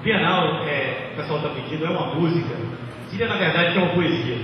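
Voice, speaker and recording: A man's voice: on the high side, a little fast, crowd noise. A man, giving a humorous speech as a graduating student at a podium. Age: 20s. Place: large graduation ceremony at a podium. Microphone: microphone on a stand through speakers. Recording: echoey outdoor PA, muffled, distant, noisy.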